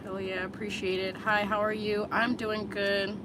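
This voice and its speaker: A woman's voice: mid-range voice, little pitch movement, moderate pace, background noise. A woman in her thirties from the Chicago area, chatting bluntly and candidly with viewers on a casual livestream and swearing freely. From Chicago area, USA. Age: 30s. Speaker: vlogger answering viewers live.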